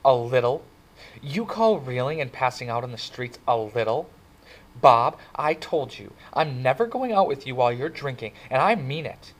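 A man's voice: mid-range voice, normal speed, close to an everyday voice, low-quality recording. A man voicing an earnest, frightened teenage girl who pleads and scolds. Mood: scolding. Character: upset teenage girl, tender and fearful.

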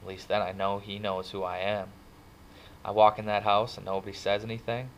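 A man voices a gentle, downcast teenage boy in an audiobook. He speaks softly and with a sigh, in a plain folksy way, with a gravelly grain to the voice. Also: on the low side, flat delivery, fairly quick, slight background noise, lightly acted, low-quality recording. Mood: sad, resigned. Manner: soft, sighing, folksy. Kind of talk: dramatic audiobook character reading.

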